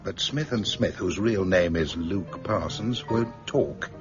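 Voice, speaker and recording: A low-voiced British police chief in a radio play. He is measured, formal and drily sardonic. Music plays clearly behind the slightly muffled sound. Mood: guarded, sardonic. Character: dry, guarded male police chief superintendent. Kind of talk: radio drama acting.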